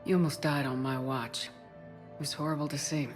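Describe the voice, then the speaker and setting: A low-voiced woman military commander, played by an actress in a video game. She is steady and supportive, with direct, plain delivery over faint music. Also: fairly quick, close to an everyday voice. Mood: caring. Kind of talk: video game cutscene dialogue.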